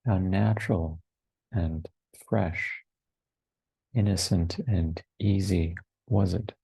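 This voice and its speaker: A man in his forties leads a guided meditation, speaking very slowly in short, hushed phrases. His voice is low and husky, with long unhurried pauses in between. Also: steady tone, dry recording, poor audio. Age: 40s. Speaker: meditation teacher. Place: online retreat over video call, dry close sound.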